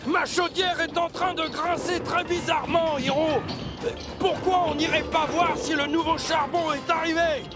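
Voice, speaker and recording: A man's voice: very high voice, steady tone, normal speed. A man voicing a hesitant, grumbling but friendly steam engine in a dubbed children's cartoon. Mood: worried. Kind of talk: animated children's series dubbing. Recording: clear music behind voice.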